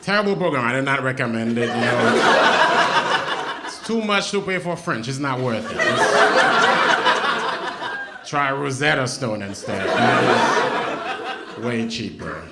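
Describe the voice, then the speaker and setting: A Haitian man whose first language is Haitian Creole, performing an animated stand-up set on a comedy stage and jumping into high mock voices for punchlines. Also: takes it slowly, long pauses. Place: comedy stage before a laughing crowd. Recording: loud noise, audience laughter.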